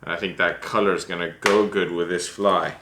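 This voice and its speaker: Deep-voiced man demonstrating fly tying. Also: fairly even intonation, a little fast, noisy background. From United States. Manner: chatty, self-deprecating, thinks aloud. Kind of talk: relaxed step-by-step tutorial. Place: small room at home, seated at a desk.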